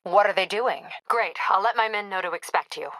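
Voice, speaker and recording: A tough female police captain played by an actress for a video game. Her voice is bright and forceful, in a very dry, close recording. She speaks in a clipped, authoritative way, dutiful and a little wry. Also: medium pitch, a little fast, no echo, natural voice. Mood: serious, confiding. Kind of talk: video game dialogue acting.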